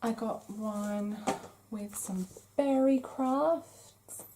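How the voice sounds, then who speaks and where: A woman's voice: a little high, drawn-out words, mellow, a little hiss or hum. A woman hosting a craft podcast, chatting casually and enthusiastically as she shows off her finds. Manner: enthusiastic, gushing. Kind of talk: casual vlog chat, showing craft magazines.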